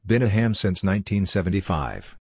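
Low-pitched man reading short phrases. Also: expressive, fairly quick, vocal fry, darkish tone, husky, dry recording, studio quality. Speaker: narrator of a Morse code practice drill.

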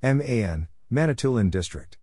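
A man giving a scripted read-out as an instructor, spelling letters and saying names in short, measured, separate phrases. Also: medium pitch, lively intonation, darkish tone. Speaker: Morse code practice instructor. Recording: noisy recording with clicks.

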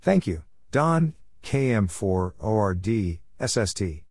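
A man reading out practice exchanges as a narrator, in a measured way, spelling out letters one at a time. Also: on the low side, big pitch swings, a little mellow, slight background noise. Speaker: Morse code practice narrator.

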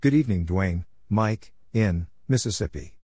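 A man narrating practice drills, reading out call signs and short radio exchanges in measured, separate letters and words. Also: lowish voice, animated, mellow, breathy edge, no echo, clean studio sound.

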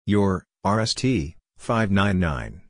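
Low-pitched man reading practice phrases. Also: animated, slow, background noise. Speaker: Morse code practice narrator. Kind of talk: scripted phrase-by-phrase read-out for drills.

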